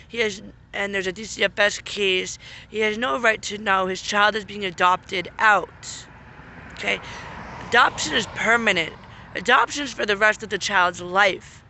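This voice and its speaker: A man who is losing his voice and getting sick, making an earnest, repetitive spoken appeal and often clearing his throat. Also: high voice, bright, forceful tone. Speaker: vlogger making a public appeal. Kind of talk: unscripted appeal to camera.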